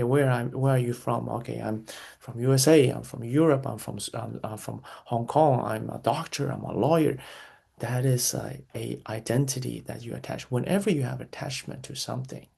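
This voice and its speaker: Guest voice: man, very gravelly and husky, earnest and reflective, circles back and repeats himself. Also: mid-range voice, fairly even intonation, normal speed, warm tone. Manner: earnest, repeats key phrases, frequent restarts. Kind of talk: reflective interview answers.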